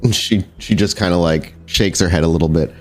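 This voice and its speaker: A man narrating a tabletop roleplaying game as game master, in a halting, conversational way. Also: sits low. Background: music.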